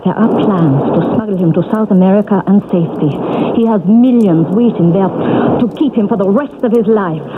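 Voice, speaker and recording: An actress playing a grim, doomed woman in a past life, speaking low and slow with resolve in a radio drama. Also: close to an everyday voice. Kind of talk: 1970s radio drama acting. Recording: tinny hissy old radio broadcast.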